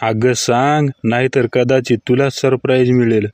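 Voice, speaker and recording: A man's voice: medium pitch, level tone, a little slow, natural voice. Teasing cartoon husband on a noisy track, man acting. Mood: dry, teasing. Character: sarcastic, teasing Marathi husband in domestic joke skits. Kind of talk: scripted comedy cartoon dialogue.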